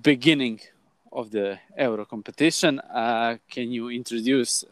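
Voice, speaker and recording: A man in his thirties from Croatia with a Croatian accent, hosting a casual podcast chat in halting English with frequent restarts. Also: mid-range voice, dry recording. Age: 30s. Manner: halting, many restarts and fillers. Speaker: podcast host.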